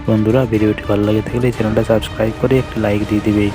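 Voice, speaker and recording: A man's voice: fairly low pitch, flat delivery, rapid-fire. A man narrating scripted fairytale stories for a children's cartoon. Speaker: storyteller narrator. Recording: loud background music. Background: music.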